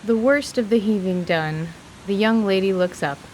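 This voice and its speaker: A woman reads scripted fantasy as a narrator, in a mid-pitched voice. Her delivery is measured and literary. A faint hiss sits behind her. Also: darkish tone, poor audio.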